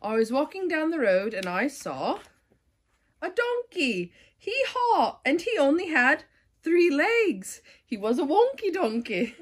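A young woman reading a children's picture book aloud, giggly and struggling to keep a straight face. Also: high-pitched. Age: young adult. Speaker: storybook reader. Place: living room sofa, indoors.

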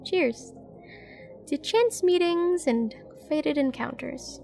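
A woman acts out a dangerous female mercenary flirting in an audio roleplay. Her voice sits high, with plenty of gravelly fry at the edges. She is teasing, self-assured and used to giving orders, with faint music behind her. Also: slow, warm tone, exaggerated voice. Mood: teasing, flirtatious. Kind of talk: scripted roleplay audio drama, addressing the listener.

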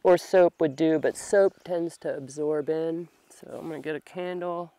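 A young woman talking casually to herself while she works at a grassy campsite, slow and thinking aloud, a little congested from a long cold. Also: low-pitched, fairly even intonation, warm tone. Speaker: bushcraft vlogger. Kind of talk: casual talk while working. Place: outdoors at a grassy campsite under a tarp. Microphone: camera on a tripod a few meters away.

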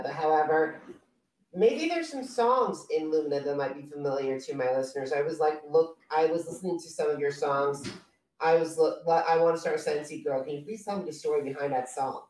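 A man's voice: a little high, darkish tone. Young male podcast host, interview delivery, echoey home room, set back from the mic on a video call. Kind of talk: interview questions. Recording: roomy, distant video-call audio.

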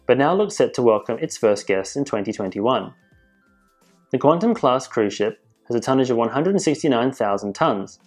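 Male news presenter, informative measured voice-over with quiet music bed. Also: mid-range voice. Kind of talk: scripted narration. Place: voice-over, not in pictured places.

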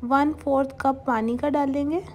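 A young woman hosting a home cooking channel, calmly talking viewers through a recipe step by step and mixing English cooking terms into her speech. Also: sits high, measured pace, a little hiss or hum. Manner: calm, instructive, mixes English cooking terms into Hindustani speech.

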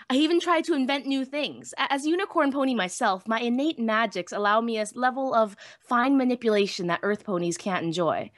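A woman's voice: medium pitch, dry recording, clean studio sound, close to an everyday voice. A woman voicing a young female unicorn pony who narrates her own story in a conversational, wry, self-deprecating way. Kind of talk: first-person audiobook reading.

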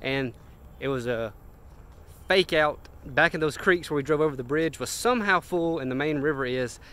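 A young man, talking excitedly and casually as a fishing vlogger on a boat and by a lake. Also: a little high, moderate pace. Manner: excited, chatty, rambling. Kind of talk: casual vlog narration. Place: outdoors on a boat, boat ramp and lakeside.

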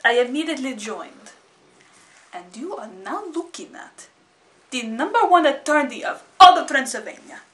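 A woman playing a solemn female vampire lawyer in improvised comedy, speaking in a slow, drawn-out, theatrical way. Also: high voice, expressive, fairly bright tone.

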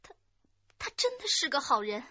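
High-voiced, nervous young woman. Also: big pitch swings, moderate pace, sharp tone, no room sound, highs cut off, heavily acted. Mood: anxious, pleading. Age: young adult. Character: nervous, defensive young woman witness under questioning. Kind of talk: radio drama acting.

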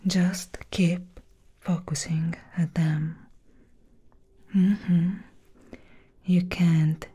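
A young woman plays a commanding female fairy assassin in an ASMR roleplay. She speaks in a breathy, very husky whisper, close to the mic. Each instruction comes extremely slowly and deliberately, with a teasing firmness. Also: fairly low pitch, flat delivery, mellow, exaggerated voice. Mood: hypnotic, firm. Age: young adult. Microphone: close-up ASMR microphone.

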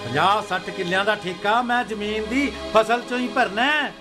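A man's voice: high-pitched, normal speed, over music, natural voice. A man acting a stubborn, hot-tempered Punjabi farmer landlord in a radio play, combative and sarcastic. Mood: indignant, sarcastic.

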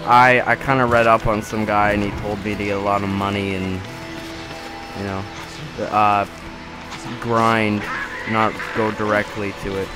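A man with a nasal voice and a cold, commenting casually and excitedly on his gameplay, swearing often. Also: fairly low pitch, a little slow, poor audio. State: has a cold. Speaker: gamer. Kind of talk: casual let's play commentary. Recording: loud game music behind.

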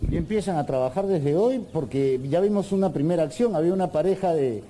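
A man's voice: fairly high pitch, brisk. A man with a Peruvian accent reporting live from outdoors in a conversational news style. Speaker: field reporter. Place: open seafront park walkway. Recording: outdoor ambient noise.

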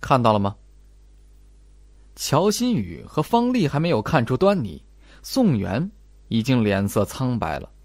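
Animated male audiobook narrator. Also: medium pitch, normal speed, no echo. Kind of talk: dramatic scripted mystery narration.

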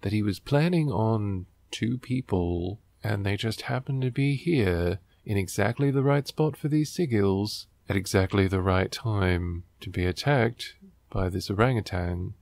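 A man's voice: on the low side, gravelly, a little mellow, dry recording. A man hosting a book riffing show, reading aloud and commenting in a sarcastic, wry, exasperated way.

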